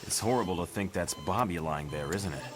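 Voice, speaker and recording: Man playing a low-voiced, troubled young hero. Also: fairly quick, over music, lightly acted. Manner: serious, anxious. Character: troubled, earnest young male team leader. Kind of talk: motion comic voice acting.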